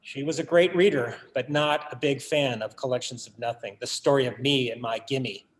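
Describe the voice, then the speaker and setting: An actor in a staged reading, playing a bereaved writer son who tells his family story in a measured, literary, wry way. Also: mid-range voice, lightly acted, low-quality recording. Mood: wry, nostalgic. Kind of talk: dramatic monologue in a staged reading.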